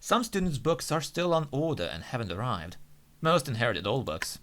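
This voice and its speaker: A man's voice: medium pitch, sing-song, moderate pace, a bit bright, a little hiss or hum. A man reading a visual novel aloud as game narration, with occasional asides of his own. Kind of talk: narrated read-aloud let's play with asides.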